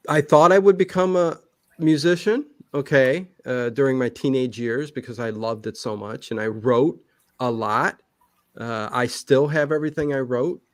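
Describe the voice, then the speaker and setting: A man's voice: mid-range voice, no room sound, studio quality. A man chatting casually in an interview, rambling and emphatic, often restarting his sentences halfway through. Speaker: livestream channel host being interviewed.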